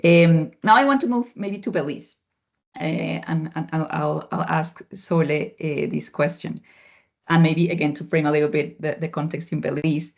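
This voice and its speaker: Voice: mid-range voice, fairly even intonation, normal speed, creaky. A moderator of an online panel asks long framing questions, speaking with many fillers and restarts. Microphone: video call. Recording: muffled lo-fi video call audio.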